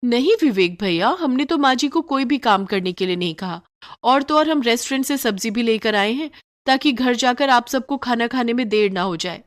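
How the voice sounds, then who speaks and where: A woman's voice: fairly high pitch, lively intonation, moderate pace, pitched above the performer's own voice, close to an everyday voice. A woman voicing a sly, lazy young daughter-in-law in a cartoon moral story, pert and falsely polite as she justifies herself. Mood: indignant, feigning innocence. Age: young adult.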